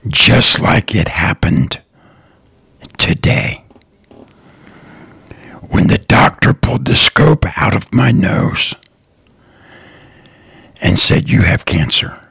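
A man hosting his own podcast, talking slowly and earnestly in a raspy, partly whispered voice. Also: lowish voice, animated, a bit bright, no echo, studio quality. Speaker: podcast host, lawn care business owner. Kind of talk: slow, earnest solo podcast talk.

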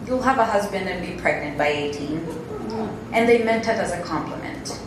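A young woman from Tanzania, giving a prepared speech in a measured, earnest manner as a guest speaker. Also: on the low side, expressive. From northern Tanzania. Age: young adult. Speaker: guest speaker, alumna and board member. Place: indoor event room at a podium. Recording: very echoey room, background noise.

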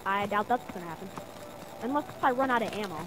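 Fast-talking eleven-year-old boy gamer. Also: high-pitched. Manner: fast, teasing trash talk. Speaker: gamer and channel host. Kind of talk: casual gaming chat. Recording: noisy home mic over game sounds.

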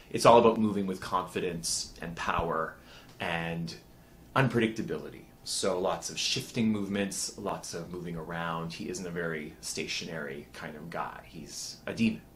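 Very deep-voiced, emphatic man. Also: fairly bright tone, a little hiss or hum. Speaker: amateur stage actor. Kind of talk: cast interview. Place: small indoor room.

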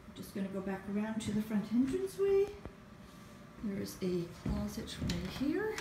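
A woman giving a walk-through house tour as a rental agent, halting between short phrases, recorded on a handheld phone. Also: medium pitch, big pitch swings. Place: walking through an empty house. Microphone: handheld phone behind the camera. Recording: echoey empty rooms, clear background noise.

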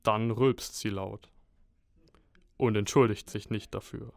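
A man narrating a comic superhero radio play as a deadpan storyteller. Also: on the low side, slow pace, vocal fry, dry recording.

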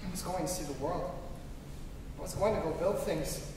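A man plays a frustrated young family man in a radio drama. His voice is high, earnest and emotional. It comes from far off in a very echoey auditorium. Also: normal speed, close to an everyday voice. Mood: troubled. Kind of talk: live radio play acting. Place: on stage in a school auditorium. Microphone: stage mic, recorded from far camera. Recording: distant echoey auditorium, clear room noise.

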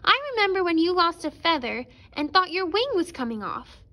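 A woman's voice: very high voice, sing-song, a little fast, bright, forceful tone, pitched above the performer's own voice, exaggerated voice. A woman voicing a curious, doubtful male duck in a storybook, in a questioning manner.

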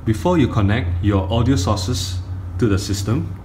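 A middle-aged man giving a step-by-step tutorial on audio gear, speaking deliberately in short phrases with pauses. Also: mid-range voice, expressive, a little mellow. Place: indoor carpeted room. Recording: faint room noise, phone camera audio.

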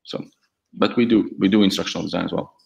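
A young man whose first language is Latvian talks in a very low voice over a headset on a video call. He speaks candidly and haltingly, restarting his sentences often. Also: steady tone, creaky, darkish tone. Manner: candid, hesitant, many restarts. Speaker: guest, e-learning template developer. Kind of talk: casual video call chat.

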